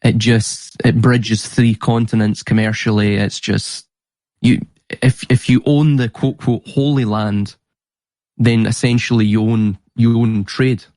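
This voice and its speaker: A man's voice: lowish voice, steady tone, unhurried, a little mellow, dry recording. A man talking in a casual podcast conversation, explaining things in an earnest way. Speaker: podcast guest and researcher.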